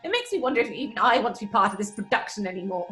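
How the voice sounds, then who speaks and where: A woman playing a sceptical, sharp-tongued stage actress in a horror audio drama, brisk and dismissive. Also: mid-range voice, sing-song, soft background music. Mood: irritated.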